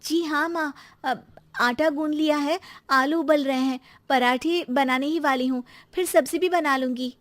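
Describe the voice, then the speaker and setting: A woman voicing a gentle, patient daughter-in-law in a cartoon story, with a mild and polite manner. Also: high voice, fairly even intonation, a little slow, pitched above the performer's own voice. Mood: dutiful. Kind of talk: cartoon moral story character voice.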